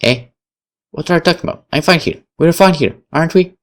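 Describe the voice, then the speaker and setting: A man's voice: fairly high pitch, brisk, pitched above the performer's own voice, lightly acted. A man voicing an anxious, pleading teenage boy who stammers, reading visual novel dialogue aloud. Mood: confused, anxious.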